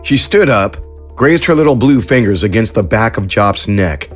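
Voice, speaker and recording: A man narrating a science fiction story in a calm, measured way, then reading the credits. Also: on the low side, faint music behind.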